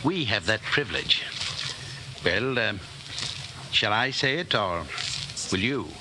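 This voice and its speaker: A suave, senior party official in a 1940s radio play. He speaks with urbane deliberation and pauses, his pitch moving freely, on a noisy old broadcast recording. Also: animated. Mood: calm, confiding. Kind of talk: 1940s radio drama acting.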